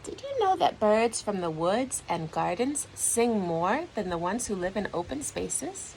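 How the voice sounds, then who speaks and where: A woman reading a children's storybook aloud like a teacher, in an expressive, deliberate storytelling manner. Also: medium pitch, a little hiss or hum, low-quality recording.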